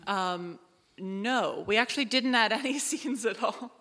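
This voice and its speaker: A woman's voice: on the high side, unhurried, fairly bright tone. A woman speaking casually as a writer on a live Q&A panel, recorded in an echoey hall. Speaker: audio drama writer on a panel.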